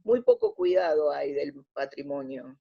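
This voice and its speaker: A woman's voice: deep voice, huge pitch swings, a little slow, vocal fry, slightly rough, highs cut off. An Argentine woman answering as an interview guest in a conversational, explanatory way, often rephrasing mid-sentence. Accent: Argentine Spanish. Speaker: interview guest, radio drama researcher.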